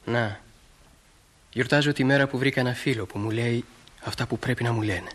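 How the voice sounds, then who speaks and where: Actor as earnest, slightly husky teenage lad. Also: male voice, medium pitch, fairly bright tone, close to an everyday voice. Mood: sincere, warm. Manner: sincere, impulsive, insistent. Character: earnest, eager, boyish small-town teenage farm lad. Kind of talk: stage drama acting for broadcast.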